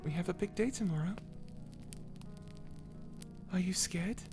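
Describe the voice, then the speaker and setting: A woman voicing a kind, dutiful guardian angel in a character audio roleplay, gentle, formal and measured. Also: lowish voice, over music. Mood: tender, reassuring.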